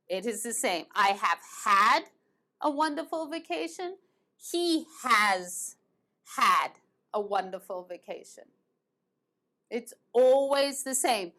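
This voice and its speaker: Woman English teacher, fairly high voice, slow and patient, repeats each verb form clearly. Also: bright, forceful tone. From United States and England. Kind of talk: online grammar lesson.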